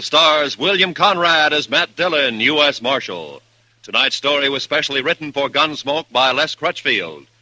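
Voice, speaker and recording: A male radio announcer reading the credits in a crisp, emphatic style. His voice is very bright and lively, raised a little, and sounds dry and close. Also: mid-range voice, dry recording, poor audio.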